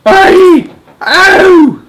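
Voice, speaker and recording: A man's voice: extremely high pitch, lively intonation, drawn-out words, heavy background noise, voice pushed up, heavily acted. A man voicing a huge brutish storybook giant, bellowing and pleading in terror with garbled grammar. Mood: pained yelling.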